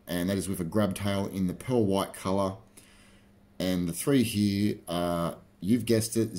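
A man in his thirties with an Australian accent, chatting casually to camera about fishing lures, relaxed with frequent fillers. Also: low voice. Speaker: fishing vlogger. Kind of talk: casual explanatory talk to camera. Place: indoors by a garage door.

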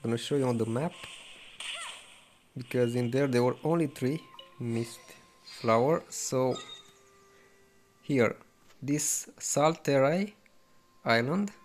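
A man hosting a casual gameplay walkthrough, low-key and halting, sometimes repeating himself. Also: darkish tone, slight background noise. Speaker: gameplay video host. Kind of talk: casual game walkthrough commentary.

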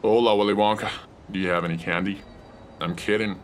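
A cocky, low-voiced bad side of the mind mocks and wheedles, always asking for a smoke or a drink, as a man acts him out. His teasing has a lazy, crude swagger. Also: steady tone, fairly quick, a little hiss or hum, close to an everyday voice. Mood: mocking. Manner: teasing, cocky, laughs at others.

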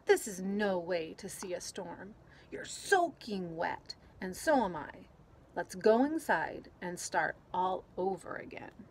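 A woman's voice: fairly low pitch, big pitch swings, slow, heavily acted. A woman voicing a kindly old fisherman grandfather in a picture book read-aloud. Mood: tender. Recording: clear outdoor background noise.

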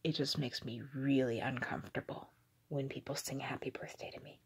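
Young woman doing whispery asmr talk, super breathy, low voice, hesitant. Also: steady tone. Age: young adult. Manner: slow, hesitant, halting. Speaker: ASMR video creator. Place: small indoor room with curtains.

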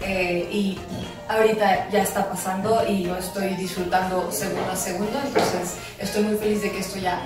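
A woman's voice: medium pitch, little pitch movement, moderate pace, loud music behind the voice. Woman in her thirties, from Ecuador, enthusiastic and playful, echoey room, distant mic. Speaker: musical theatre actress and producer. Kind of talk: casual interview chat. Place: office room with glass wall, seated on chairs. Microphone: far camera mic.

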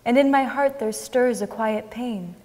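Young woman reading, highish voice, hall reverb. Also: a little slow, darkish tone. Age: young adult. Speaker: reader on stage. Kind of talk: dramatic reading from a script. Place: large wooden concert hall stage.

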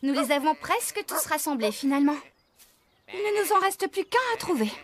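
A woman in a French children's cartoon dub playing a brisk, caring woman farmer who expressively directs others. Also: high voice, sing-song. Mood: encouraging.

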